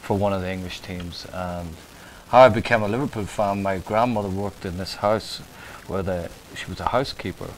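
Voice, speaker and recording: A man's voice: sits low, moderate pace, few pauses, a little hiss or hum, rough recording. A middle-aged Irish man from Ireland, a bar owner chatting as a guest with an easygoing, dry-humoured manner. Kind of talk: casual chat.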